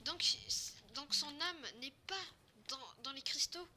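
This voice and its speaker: High-pitched young survivor, played by a woman, noisy recording. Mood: puzzling, scheming. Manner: halting, repetitive, informal. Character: forceful, confused half-zombie apocalypse survivor. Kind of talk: improvised amateur game roleplay. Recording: noisy home recording with clicks.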